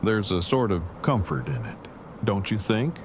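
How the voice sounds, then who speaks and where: An actor playing Eugene, a verbose, bookish and affectionate apocalypse survivor, speaking formally and precisely in an audio drama. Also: male voice, fairly low pitch, very animated, moderate pace. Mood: reflective. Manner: formal, wordy, precise diction. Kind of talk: fan audio drama acting. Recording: clearly noisy, with ambience behind.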